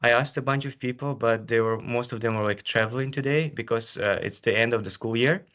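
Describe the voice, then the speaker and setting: A man's voice: medium pitch, brisk, a bit bright. Friendly man advising students. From Kazakhstan. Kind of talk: casual panel talk.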